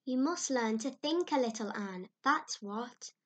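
A woman's voice: a little high, animated, fairly bright tone, voice pushed up. A woman reading aloud, voicing an opinionated older village woman who lectures firmly. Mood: lecturing. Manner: firm, lecturing, drawn-out phrase endings.

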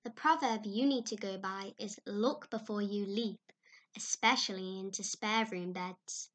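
A woman's voice: medium pitch, fairly bright tone. A woman reading aloud, voicing an opinionated older village woman who lectures firmly. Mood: lecturing. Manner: firm, lecturing, drawn-out phrase endings.

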